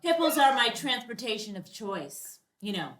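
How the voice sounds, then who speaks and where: A woman's voice: a little high, sing-song, moderate pace. An actress playing a scrappy, sarcastic single mother in a live comedy read. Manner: wisecracking, sassy. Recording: roomy live stage, faint noise.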